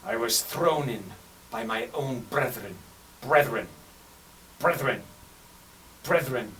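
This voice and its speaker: A man's voice: medium pitch, expressive, slow, a bit bright, recorded from a distance, rough recording. A male voice actor runs the same line again and again, stumbling and muttering to himself. His voice swings up and down expressively. He sits a little back from the mic, with faint hiss behind. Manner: repeating and stumbling over lines, exasperated. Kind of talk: rehearsing lines in a recording session.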